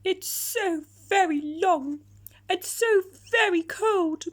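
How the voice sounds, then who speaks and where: A woman's voice: very high-pitched, slow pace, pitched above the performer's own voice. A woman voicing a sad, cold giraffe in a children's storybook, whimpering and plaintive. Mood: sad, tearful.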